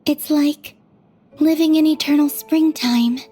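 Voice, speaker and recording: A woman playing a gentle, dreamy young maiden in an anime video game, speaking softly and wistfully. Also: high voice, steady tone, measured pace. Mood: serene, wistful. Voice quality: soft, breathy. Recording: faint music behind.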